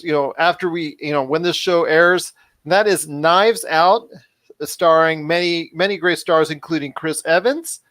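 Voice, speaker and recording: A man's voice: a little high, a bit bright, no room sound. A man hosting a podcast in an upbeat, chatty style, wordy and given to repeating himself. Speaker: podcast host.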